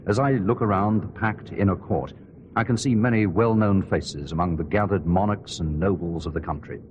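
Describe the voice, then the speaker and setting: A man playing a radio reporter who gives solemn, measured, descriptive live commentary on a royal ceremony. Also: low-pitched, dull audio, natural voice. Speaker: outside-broadcast commentator. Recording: clear background noise and ambience.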